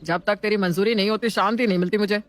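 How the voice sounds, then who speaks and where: A woman plays a middle-aged mother in a domestic story, fussy and doting. She takes charge of the household in an exclamatory, motherly way, at an ordinary mid pitch. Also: steady tone, fast, natural voice. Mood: fretful. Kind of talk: scripted family story acting.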